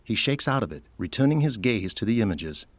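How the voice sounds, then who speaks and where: A man's voice: lowish voice, big pitch swings, a little fast, no room sound. A man delivering scripted narration for an audio drama, setting each scene.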